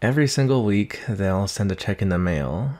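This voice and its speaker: A man's voice: lowish voice, expressive, moderate pace, darkish tone, close to an everyday voice. A man playing a shy, earnest nineteen-year-old naga monster boy in a conversational ASMR roleplay, hesitant, nervous and apologetic. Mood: friendly, tentative.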